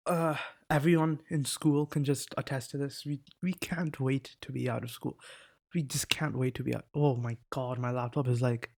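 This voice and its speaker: A man's voice: mid-range voice, vocal fry. Teen guy rambling into his desk mic, keeps sidetracking himself, laid back, swears a bit. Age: teens. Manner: rambling, self-interrupting, sweary, relaxed. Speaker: vlogger. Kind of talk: casual rambling vlog. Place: indoors at a laptop.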